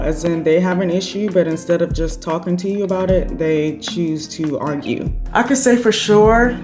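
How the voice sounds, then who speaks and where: A woman's voice: on the low side, roomy sound. A woman in her late twenties chatting casually and venting while doing her makeup at home, rambling a little before coming back to her point. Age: 20s. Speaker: beauty vlogger. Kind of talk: casual get-ready-with-me chat. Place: her apartment.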